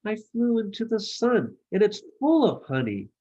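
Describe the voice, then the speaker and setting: Man playing a cheerful boy gnome, raised pitch, excitable, earnest, storybook. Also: a little slow, dull audio, exaggerated voice. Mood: eager, proud.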